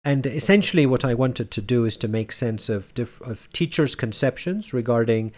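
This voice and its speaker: A man who grew up in Barcelona, presenting his research at a conference as a university lecturer. He speaks in a measured way and builds his points as lists. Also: mid-range voice. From Spain (Barcelona).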